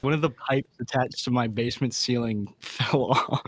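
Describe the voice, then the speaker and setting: A man's voice: medium pitch, normal speed, fairly bright tone. Informal male co-host, mid-range voice, conversational and slangy, little room echo. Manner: informal, slangy, says dude. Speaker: podcast co-host. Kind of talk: casual chat. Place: home basement.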